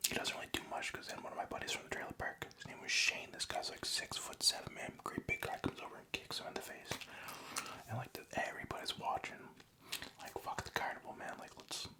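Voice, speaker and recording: A young man from Canada telling a story in a soft, unvoiced ASMR whisper, casual and profane, close to the mic. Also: brisk. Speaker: vlogger.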